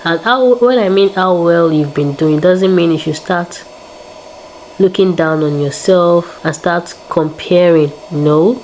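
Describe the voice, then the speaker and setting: A woman's voice: lowish voice, slow, darkish tone, a little hiss or hum, poor audio. A woman in her late twenties vlogging in a casual, upbeat way, repeating words and adding prayerful asides. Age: 20s. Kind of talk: casual faith-filled vlog talk.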